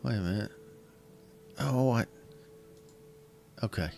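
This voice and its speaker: A man with a slightly scratchy voice, casually commenting while he plays a strategy game and thinking aloud in halting, self-correcting phrases. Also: lowish voice, vocal fry, darkish tone. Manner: thinks aloud, halting, restarts mid-sentence. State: slightly scratchy voice from illness and yelling. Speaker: gaming channel host. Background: faint game music.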